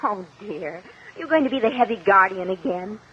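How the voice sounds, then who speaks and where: An actress playing a spoiled, theatrical young heiress niece in 1950s radio drama style. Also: female voice, medium pitch, lively intonation, normal speed, fairly bright tone, close to an everyday voice. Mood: flippant. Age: young adult. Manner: theatrical, pleading. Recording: dull lo-fi old radio recording.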